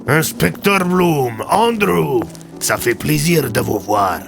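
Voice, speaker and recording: A man voicing a theatrical villain gang boss with slow, mock-courteous taunts, in an audio drama. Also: on the high side, animated, creaky, over music, voice pushed up, heavily acted. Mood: mocking, menacing.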